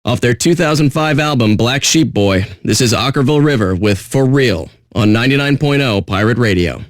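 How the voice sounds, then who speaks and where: An actor playing a surly, sarcastic radio DJ in a video game, with deadpan, contemptuous patter. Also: male voice, mid-range voice, moderate pace, no room sound, studio quality, lightly acted. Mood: deadpan, smug.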